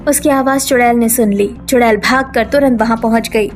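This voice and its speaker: A woman's voice: a little high, steady tone, a little fast, faint music behind. A woman narrating a scripted cartoon story as its storyteller.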